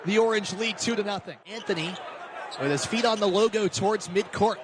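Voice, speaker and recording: High-pitched man calling basketball over arena noise. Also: crowd noise. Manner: clipped, vivid rapid-fire calls. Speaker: radio basketball play-by-play announcer. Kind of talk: live sports commentary. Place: basketball arena during live games.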